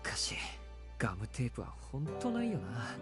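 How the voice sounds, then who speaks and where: A man playing a mild, considerate high school boy in a Japanese visual novel. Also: huge pitch swings, racing. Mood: pensive. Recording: loud game music behind. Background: game music.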